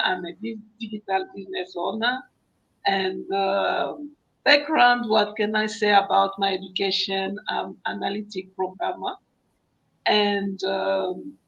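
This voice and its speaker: Gravelly female guest, earnest. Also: mid-range voice, fairly bright tone, dull audio. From Congo. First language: French. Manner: earnest, apologetic about her English.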